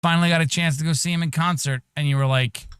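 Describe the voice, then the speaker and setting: A man's voice: on the high side, steady tone, fast talker, fairly bright tone, smooth, dry recording, clean studio sound. A man with a whiny, nasal voice co-hosting a podcast through an EQ'd mic, chatting casually and giving his opinions. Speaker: podcast co-host. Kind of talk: casual chat.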